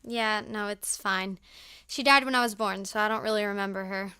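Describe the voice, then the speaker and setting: A woman plays a reserved, earnest teenage girl in an audio drama. Her voice is bright and very clean, a little hesitant, recorded close and dry. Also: mid-range voice, fairly even intonation, normal speed, smooth, no room sound, natural voice. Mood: subdued, reflective. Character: earnest, reserved teenage high school girl raised on the mainland. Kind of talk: naturalistic audio drama acting.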